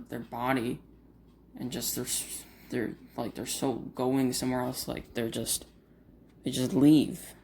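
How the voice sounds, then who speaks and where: A man vlogging while grieving, his voice flat and monotone, barely moving up or down. He talks slowly and haltingly, with lots of fillers and restarts. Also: medium pitch, slight background noise. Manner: monotone, uninteresting voice. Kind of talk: casual talk to camera.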